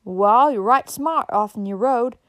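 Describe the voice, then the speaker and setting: A woman acting brash backwoods storybook woman, Southern mountain drawl, folksy, raised pitch, loud, close dry sound. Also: unhurried, dry recording, close to an everyday voice. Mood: brash, curious. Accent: rural Southern US mountain. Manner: drawling dialect, calling out.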